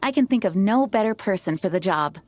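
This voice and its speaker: An actress playing an earnest, modest young knight commander in an anime game, speaking formally and politely. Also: female voice, medium pitch, lively intonation, fairly quick, a little mellow, lightly acted. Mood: composed, helpful. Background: background music.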